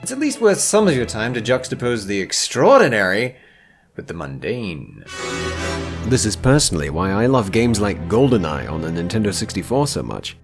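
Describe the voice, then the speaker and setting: Male narrator for a scripted video essay: expressive, widely swinging delivery, theatrical sarcasm, faint music underneath. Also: fairly low pitch, normal speed. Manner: theatrical, sardonic emphasis.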